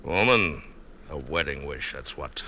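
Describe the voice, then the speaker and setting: An actor playing a boastful, amorous pirate captain in a classic radio drama, with archaic theatrical phrasing. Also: male voice, very deep voice, expressive, unhurried, sharp tone, full character voice. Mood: amorous, grand.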